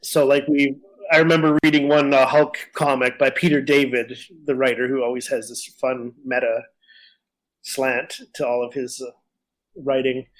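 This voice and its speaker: A man's voice: medium pitch, flat delivery, normal speed, poor audio. A man with a conversational, explanatory manner, chatting casually as a podcast co-host. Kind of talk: casual discussion of comics and films.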